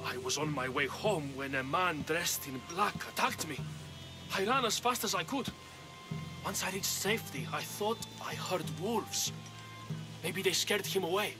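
A fearful, weary older farmer who was once a priest, talking in a notably high male voice. He sounds guarded, as a game character would, with music behind him. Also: measured pace, low-quality recording. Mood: wary, resigned. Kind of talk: video game voice acting. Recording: music under the voice. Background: background music.

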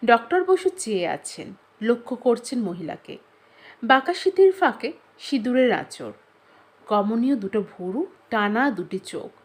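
A woman narrating a literary story in an expressive, dramatic and measured storytelling manner. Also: fairly high pitch.

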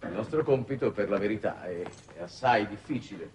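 An actor playing a shrewd, weary middle-aged statesman in a 1960s Italian television drama, speaking in formal, measured phrases. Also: on the low side, lively intonation, darkish tone, natural voice. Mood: grave, solemn. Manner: measured, formal, deliberate oratory. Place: television studio set. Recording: noisy old 1960s television soundtrack.

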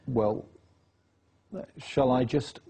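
A man's voice: mid-range voice, steady tone, extremely slow, gravelly, warm tone, slightly rough. A man being interviewed, laying out his case insistently and reeling off dates and facts. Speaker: interview guest. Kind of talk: political interview.

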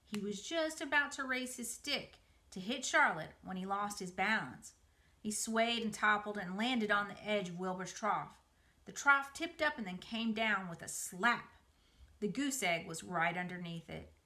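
Middle-aged woman, storybook narration, bright forward voice, expressive delivery. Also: medium pitch, unhurried. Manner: expressive, dramatized reading. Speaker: museum educator reading aloud. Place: small room by a window, indoors.

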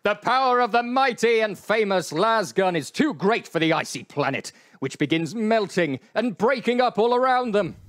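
A man's voice: high voice, lively intonation, normal speed, few pauses, a bit bright, no echo. A man narrating a comic live radio play in a playful, emphatic storybook manner.

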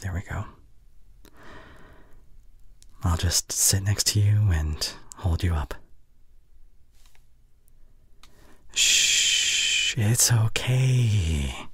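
A young man playing an anxious, caring boyfriend in an intimate ASMR roleplay, speaking softly and hesitantly close to the mic. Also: sits low, no room sound, heavily acted. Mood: soothing, tender. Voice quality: soft, breathy. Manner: gentle, hesitant, murmuring reassurance. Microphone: close microphone.

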